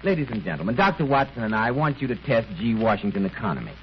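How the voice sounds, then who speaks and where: A man's voice: medium pitch, very animated, fast talker. A male radio host in 1930s announcing style, polished and courteous, delivering scripted sponsor chat like a salesman. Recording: dull, noisy 1930s radio recording.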